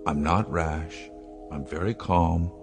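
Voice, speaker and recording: A man's voice: low voice, steady tone, slow pace, over music. A man voicing a commanding, persuasive inventor father in a radio drama. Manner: commanding, coaxing. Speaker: scientist.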